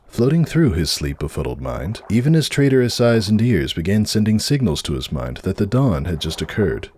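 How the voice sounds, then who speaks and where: A man narrates a storybook tale in a low voice. His delivery is dramatic and swings widely in pitch, over clearly audible background ambience. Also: a little mellow, slight background noise. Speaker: narrator of a fan fiction reading.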